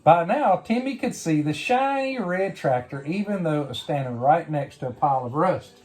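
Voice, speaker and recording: A woman reading a picture book aloud to a young child, pausing to ask simple questions. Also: deep voice, animated, a little mellow, soft background music. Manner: simple questions and prompts addressed to a small child. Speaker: bedtime story reader.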